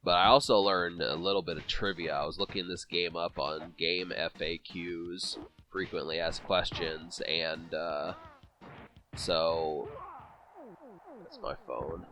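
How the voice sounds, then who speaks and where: A man's voice: sits low, fairly even intonation, slow, music in the background. A man with a cold, sniffling and congested, rambling through casual commentary on a boxing video game with many fillers and restarts. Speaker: let's play commentator.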